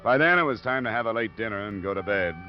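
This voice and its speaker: A man performing a steady private investigator in a 1950s radio detective show. His low voice is dry, laconic and matter-of-fact. The vintage broadcast is muffled, with music behind him. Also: fast talker, natural voice. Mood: dry, narrating. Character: laconic, steady male insurance investigator narrating his case.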